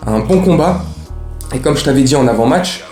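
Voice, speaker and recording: A man hosts a chatty football fan recap. His mellow, relaxed voice rings in a roomy space. He uses plenty of slang and speaks directly to the people watching. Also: medium pitch, moderate pace, faint music behind. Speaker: host of a football fan show. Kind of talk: casual match recap to camera. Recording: roomy, echoey room sound.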